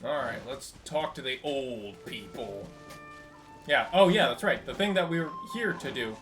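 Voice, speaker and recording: A man commenting casually as he streams a game. His voice is moderately pitched, with soft game music playing behind it. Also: animated, moderate pace. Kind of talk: casual live commentary over gameplay.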